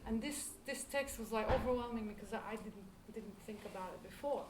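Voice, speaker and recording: A woman's voice: a little high, fairly quick. A woman with an Israeli accent, a lecturer answering audience questions, reflective and searching for words. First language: Hebrew. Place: lecture hall. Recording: roomy hall, distant mic, background noise.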